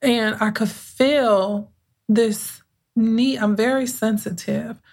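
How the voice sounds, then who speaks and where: A woman hosting her own podcast as a mindset coach, talking in an unhurried, conversational way with a soothing voice and thoughtful pauses. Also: a little high, steady tone, a little mellow. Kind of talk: casual reflective podcast monologue.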